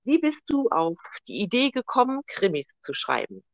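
A woman hosts a podcast interview. Her voice sits fairly high and swings widely in pitch. She talks in a friendly, hesitant way, with many fillers, and sounds dry and close to the mic. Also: very animated, normal speed, dry recording, highs cut off. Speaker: podcast host. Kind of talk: casual interview.

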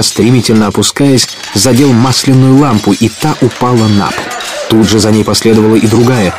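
Low male narrator reading an adventure tale, radio theatre style. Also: darkish tone. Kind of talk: scripted dramatic storytelling narration.

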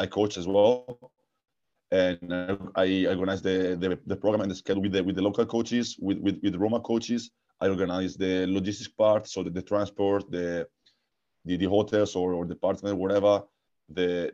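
A man with an Italian accent, a guest answering interview questions, speaking haltingly with many repeated words and restarts. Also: low voice, mellow, muffled recording. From Italy. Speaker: guest, futsal coach and technical director.

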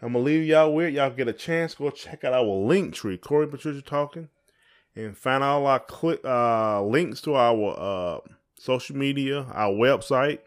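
A man's voice: medium pitch, normal speed, clear, resonant tone. Southern guy doing casual podcast chat, folksy, playful, kinda rambles. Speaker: podcast co-host.

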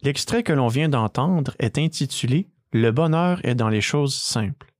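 A man, hosting and narrating a scripted podcast in a measured, read-aloud way. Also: mid-range voice, expressive, no room sound. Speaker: podcast host.

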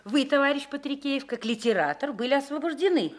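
A prim female club member in a radio play. The voice is fairly high, the manner formal, insistent and demanding. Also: expressive, fairly quick. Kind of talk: Russian radio drama acting.

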